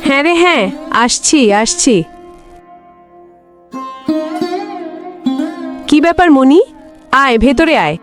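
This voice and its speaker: A woman's voice: high voice, normal speed, music in the background, close to an everyday voice. A woman voicing a bossy, nagging village wife in a cartoon, exasperated with her lazy husband. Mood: friendly. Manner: nagging, sharp-tongued. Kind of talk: cartoon voice acting.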